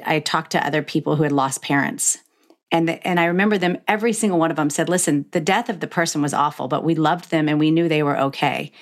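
Female podcast host, middle-aged, low register, candid and emphatic in conversation.

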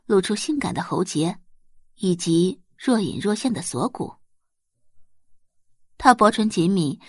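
A woman's voice: mid-range voice, husky, no room sound, clean studio sound. A woman giving dramatic scripted narration of romance fiction as an audiobook narrator.

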